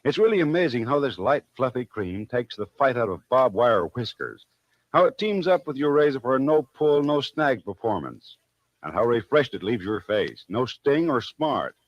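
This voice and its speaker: A man's voice: mid-range voice, big pitch swings, normal speed, no room sound. A man announcing a 1940s radio commercial with punchy, slangy salesmanship. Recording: dull vintage 1940s radio recording.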